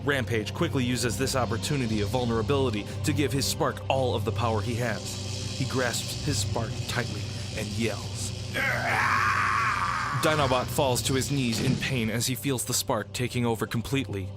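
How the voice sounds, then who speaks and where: A man serves as narrator of an audio drama, reading scripted action in the present tense. His voice sits at a medium pitch, with music playing clearly behind it. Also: moderate pace.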